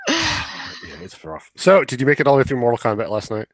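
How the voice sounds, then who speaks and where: A man's voice: mid-range voice, huge pitch swings, fairly quick, dry recording. A man hosting a podcast, chatting casually with plenty of swearing and sarcastic exaggeration. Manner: sarcastic, hyperbolic. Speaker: podcast host. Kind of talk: casual, profane podcast chat.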